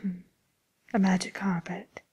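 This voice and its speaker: Woman acting as attentive storybook receptionist, ASMR roleplay, very breathy whisper, unhurried pace, polite and hesitant. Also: medium pitch, level tone, a little mellow, lo-fi sound. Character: polite, attentive female receptionist at a fairy-tale villains' dating agency. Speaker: dating service receptionist in a roleplay.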